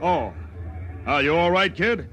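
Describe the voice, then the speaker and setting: A man's voice: fairly high pitch, animated, measured pace, fairly bright tone, slight background noise. A man playing a brusque, commanding patrol officer in a 1950s radio drama, barking orders. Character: gruff male patrol officer, harsh and commanding.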